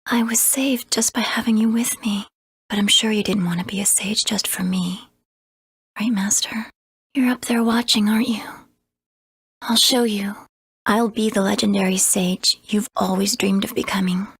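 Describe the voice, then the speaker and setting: An actress playing an earnest, wistful young girl apprentice in a video game, with a soft, breathy voice. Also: mid-range voice, fairly even intonation, moderate pace, no echo, studio quality.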